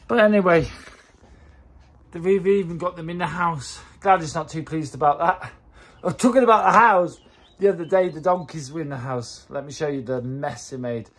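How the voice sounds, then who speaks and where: A man's voice: a little high, moderate pace, slightly rough. A man in his forties, a farm owner, chatting casually and rambling with restarts and fillers as he walks and films himself on a phone held at arm's length. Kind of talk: casual walk-and-talk vlog. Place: mountain farm, mostly outdoors, partly in a wooden kitchen.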